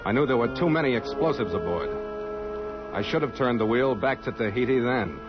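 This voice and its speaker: A man acting a brooding sea captain in a vintage radio play, clipped and commanding, with a mid-pitched voice. The recording is old and muffled, with loud music. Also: expressive, fairly quick, lightly acted. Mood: brooding narration. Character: stern, brooding, terse ship's captain. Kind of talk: old-time radio drama acting and first-person narration.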